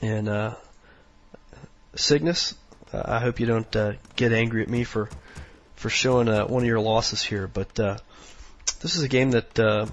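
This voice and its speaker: A hoarse, sniffly man with a raspy voice, rambling through casual strategy commentary with lots of fillers. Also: lowish voice, measured pace, pauses often, muffled recording. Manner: rambling, many fillers, instructional. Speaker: game commentator.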